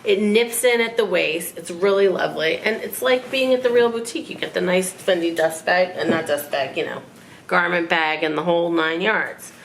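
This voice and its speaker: A woman in her forties, raspy from a cold, chatting and rambling in a casual haul vlog at home. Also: lowish voice, normal speed, slight background noise. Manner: chatty, rambling, self-mocking. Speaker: fashion vlogger. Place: living room at home.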